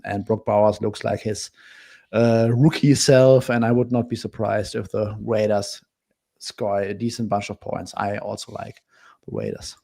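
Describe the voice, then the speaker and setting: A man analyzing football bets in a casual discussion, speaking in a measured way with hesitant fillers. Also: on the low side, creaky, dark tone. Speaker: betting analyst. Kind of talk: casual sports betting discussion.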